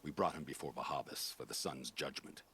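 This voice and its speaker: An actor playing a bitter, world-weary soldier captain in a video game, speaking gravely and with measured weight. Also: male voice, sharp tone, exaggerated voice. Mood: grim, regretful. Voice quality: deep, gravelly. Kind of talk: dramatic video game acting.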